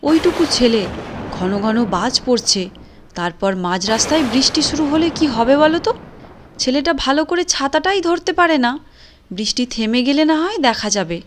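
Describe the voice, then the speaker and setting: A woman's voice: sits high, expressive, moderate pace. A woman voicing a kindly, talkative, exasperated housewife in a cartoon, nagging yet protective. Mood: protective, objecting. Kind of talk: cartoon voice acting.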